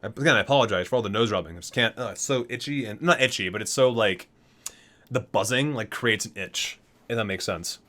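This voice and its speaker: A man's voice: on the low side, fairly quick, bright, forceful tone. A man reading game item descriptions aloud in an ASMR style, breaking off for casual, geeky asides. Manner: geeky, chatty asides between read-out entries.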